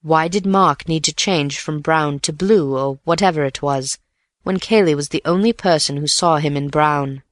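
Measured young male detective in an audiobook, voiced by a woman, low-set and dry. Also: no room sound, close to an everyday voice. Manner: calm, measured, reasoning. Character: calm, thoughtful young man detective. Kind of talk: audiobook character voice.

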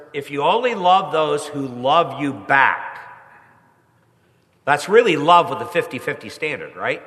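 Congested man preaching in a reverberant hall. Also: a bit bright. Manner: rises in pitch and volume when pressing a point. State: stuffy head cold. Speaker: pastor. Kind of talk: sermon.

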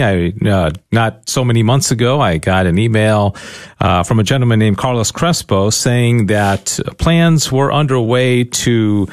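Male radio host, animated delivery, dry studio sound. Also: medium pitch, no room sound. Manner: lively, with fillers. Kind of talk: radio interview and sponsor reads. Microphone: studio mic.

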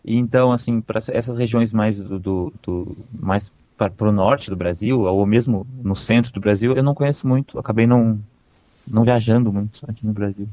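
A man's voice: on the low side, fairly quick, warm tone, dry recording, dull audio. A young man from southern Brazil with a slight southern Brazilian accent, chatting casually as the interviewee and often repeating words as he thinks. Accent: slight southern Brazilian Portuguese. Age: young adult. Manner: hesitant, repeats words while searching. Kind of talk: casual conversation.

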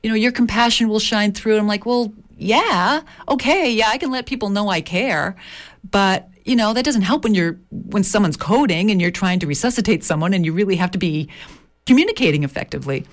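A woman's voice: medium pitch, expressive, brisk. A woman midwife and author talking in an interview, reflective and hesitant, often restarting her sentences. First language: English. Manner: reflective, many restarts and fillers. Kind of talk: interview conversation.

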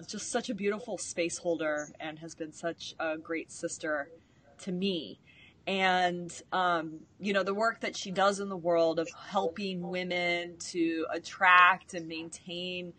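Woman host with a lowish voice, slow and effusive, lots of fillers. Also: pushed and bright. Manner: gushing, slow, filler-heavy. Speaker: podcast host. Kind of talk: warm guest introduction.

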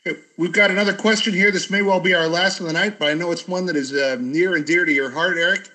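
Slightly high-voiced male academic moderator. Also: steady tone, quick pace, pushed and bright, rough recording. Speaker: history teacher and discussion moderator. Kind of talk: informal academic discussion.

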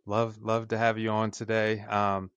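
A man's voice: on the low side, completely flat delivery, a little slow, fairly bright tone, smooth, no room sound, lo-fi sound. A man hosting an interview podcast, addressing listeners and his guest.

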